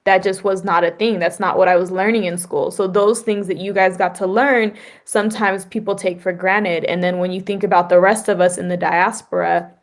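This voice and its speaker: A woman hosting an interview podcast. She talks in a lively, conversational way and often adds "like" and "right?". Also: medium pitch, moderate pace, hardly any pauses. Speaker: podcast host.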